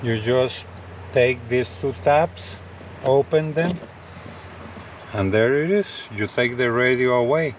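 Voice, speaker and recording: A man presents gear in a casual vlog style at a slow, unhurried pace. His voice sits at a middling pitch, and he often repeats himself as he points things out. There is faint vehicle noise around him. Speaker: gadget review vlogger. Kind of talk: casual hands-on product demo, addressing viewers. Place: in and around a parked pickup truck.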